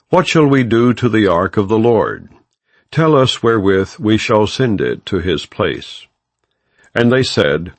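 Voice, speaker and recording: Male scripture narrator, low register, very varied intonation, formal and measured delivery. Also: no echo. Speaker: audiobook narrator. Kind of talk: scripted non-dramatized scripture reading.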